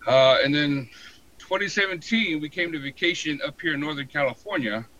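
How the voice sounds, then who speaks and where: An American man in his forties, telling his story casually in an interview with a halting, colloquial delivery full of "you know". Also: a little high, sharp tone, highs cut off, slight background noise. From San Diego, born in Hawaii. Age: 40s. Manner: halting, colloquial, frequent 'you know'. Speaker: podcast guest, fire protection inspector.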